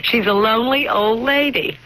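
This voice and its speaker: An American actress playing a teasing, skeptical young wife with a wry, assertive manner in a 1970s radio drama. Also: female voice, fairly high pitch, moderate pace, bright, forceful tone, rough recording. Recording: hissy old radio broadcast.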